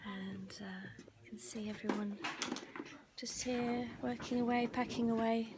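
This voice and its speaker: Soft-spoken woman, often near a whisper. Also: on the high side, steady tone, unhurried, very noisy. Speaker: ASMR vlogger and host. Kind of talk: casual behind-the-scenes vlog narration.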